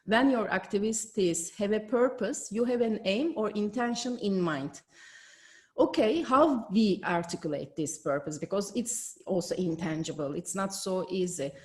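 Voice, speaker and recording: A woman's voice: medium pitch, moderate pace, a little mellow. A woman from Turkey with a Turkish accent giving an online conference keynote from home, careful with frequent hesitations, on a video call mic. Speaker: keynote speaker.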